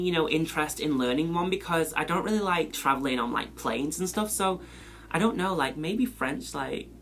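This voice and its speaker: A young man with a Mancunian accent, talking in a mid-pitched voice. He rambles chattily, filling gaps with "like" and "you know", and his voice jumps high when he exclaims. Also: vocal fry, a bit bright. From England. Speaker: beauty vlogger. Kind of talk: casual chat answering a question tag.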